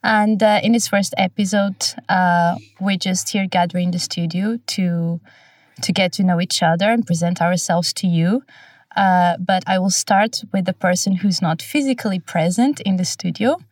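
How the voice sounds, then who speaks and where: A woman's voice: fairly low pitch, normal speed, a little mellow, distant mic. A young woman from Portugal hosting a casual podcast chat in a studio, friendly and hesitant with many fillers. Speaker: podcast host.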